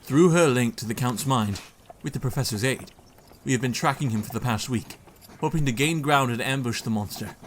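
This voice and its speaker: A man's voice: mid-range voice, brisk, slight background noise, close to an everyday voice. An actor playing an anxious young male hero who narrates his journal in a brooding tone in an audio drama.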